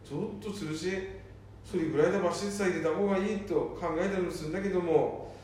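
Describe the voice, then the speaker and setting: A worried son acted by a man frets in folksy Nanbu dialect, in a high voice for a man. It is a homemade radio drama, with heavy echo and a distant microphone. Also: fairly even intonation, fast, a little mellow, close to an everyday voice. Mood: exasperated. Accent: Nanbu dialect, northern Tohoku. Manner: folksy, fretting. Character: worried, exasperated eldest son of a wandering father. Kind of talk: amateur radio drama acting. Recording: very echoey room, distant mic, faint noise.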